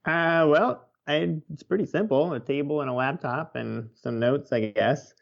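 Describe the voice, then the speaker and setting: A man's voice: on the high side, measured pace, gravelly. A man giving plain-spoken, easygoing answers as a guest in a casual interview, on slightly dull lo-fi sound. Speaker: interview guest, nature writer.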